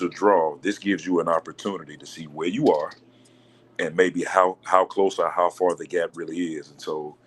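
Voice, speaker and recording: Male coach, very low deep voice, measured and courteous, light background noise. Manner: measured, polite, says "yes, sir". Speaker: basketball coach. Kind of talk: casual radio show interview.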